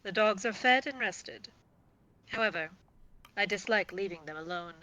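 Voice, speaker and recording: A man's voice: sits high, moderate pace, bright, forceful tone, no echo, low-quality recording. A man voicing a polite, reserved dog handler who worries about leaving his dogs, in a fan-voiced game playthrough. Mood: guarded. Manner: courteous, worried and guarded.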